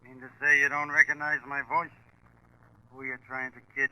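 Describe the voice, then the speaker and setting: An actor playing a cold, mocking gang boss in a 1940s radio crime drama, taunting and menacing. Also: male voice, medium pitch, level tone, normal speed, pushed and bright, poor audio. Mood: mocking menace. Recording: vintage 1940s radio broadcast.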